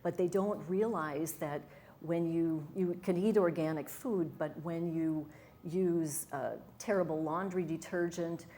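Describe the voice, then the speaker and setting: A woman's voice: on the low side, fairly even intonation, unhurried, warm tone, slight background noise. A middle-aged woman from Wisconsin, a wellness coach talking earnestly and enthusiastically as an interview guest. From Wisconsin, USA. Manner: earnest, enthusiastic, with fillers and restarts. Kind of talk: conversational interview.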